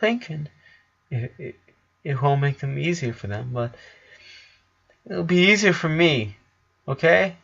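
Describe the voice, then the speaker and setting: A man voicing a tearful, dying eleven-year-old boy in a storybook reading, halting and pleading, on a dull recording. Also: fairly high pitch, lively intonation, gravelly, fairly bright tone, voice pushed up, exaggerated voice. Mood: desperate pleading.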